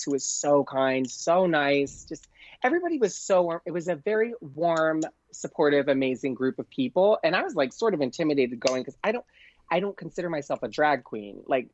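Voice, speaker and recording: A man's voice: a little high, expressive, moderate pace. Gushing man, dull lo-fi sound. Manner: gushing, effusive. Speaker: podcast guest, comedian. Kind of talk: casual interview chat.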